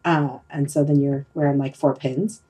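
A woman's voice: a bass voice, fairly even intonation, normal speed, dark tone. A middle-aged woman hosting a casual, reflective conversation, hesitant and rambling as she thinks aloud. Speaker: podcast host leading a discussion.

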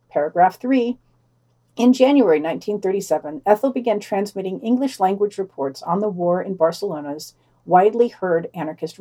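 A woman's voice: mid-range voice, dark tone. A woman reading a scripted podcast news digest, adding wry, sardonic commentary in a dry manner.

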